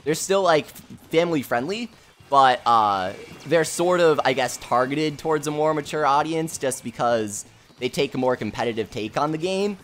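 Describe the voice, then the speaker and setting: A man's voice: a little high, a bit bright. A young man streaming live, reading chat messages aloud in an upbeat way, talking close to his mic. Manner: upbeat, reads chat aloud with frequent laughs. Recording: faint game music behind. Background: game music.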